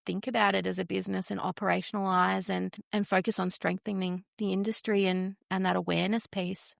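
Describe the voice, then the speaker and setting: An Australian woman talks as an interview guest, explaining things earnestly and conversationally. Her pitch sits in the usual range for a woman. The sound is dry, with very little room in it. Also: level tone, a little fast, gravelly, no echo. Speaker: podcast guest, flower industry entrepreneur.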